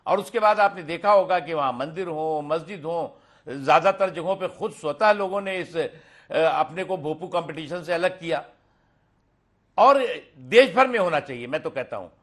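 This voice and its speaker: A man giving off-the-cuff political remarks in a colloquial, halting way, often repeating words. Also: fairly high pitch, expressive, fairly bright tone.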